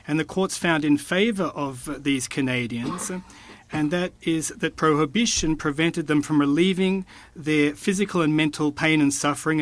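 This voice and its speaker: A man interpreting formal committee testimony, with a measured, halting delivery. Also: fairly high pitch.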